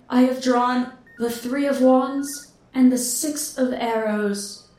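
A theatrical psychic. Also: female voice, fairly high pitch, mellow, roomy sound, poor audio. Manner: mystical, drawn-out, dramatic. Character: theatrical female psychic on a radio telethon. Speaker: fortune teller. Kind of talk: audio drama acting.

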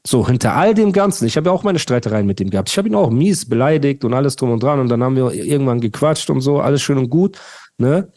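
A young man co-hosting a casual podcast, his voice sitting in an ordinary middle pitch. He rants with heat, piles on insults and talks over his partner. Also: expressive, quick pace, warm tone. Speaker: podcast co-host. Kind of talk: casual podcast chat and rant.

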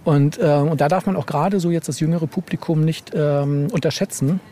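A man answering as a guest author in a podcast interview, speaking conversationally and haltingly, often tagging phrases with a short questioning word. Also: fairly high pitch, steady tone, mellow. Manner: halting, ends phrases with tag "ne?".

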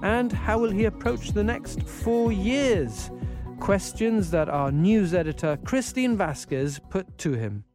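Higher-pitched man presenting over music. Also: animated, slow pace, a little mellow. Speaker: podcast host and news presenter. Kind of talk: scripted news introduction and studio conversation.

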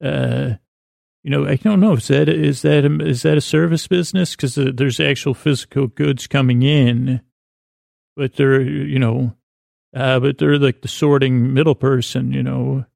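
Male podcast host, very gravelly fry, dry close sound, halting and mumbly, whimsical self-deprecating bedtime rambles. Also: medium pitch, fairly even intonation, a little mellow, no echo. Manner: meandering, halting, stammering and repeating words.

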